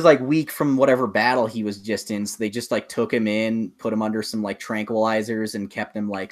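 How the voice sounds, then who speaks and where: Rambling, enthusiastic man chatting casually. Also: fairly low pitch. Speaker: podcast co-host. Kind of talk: casual chat about films and games.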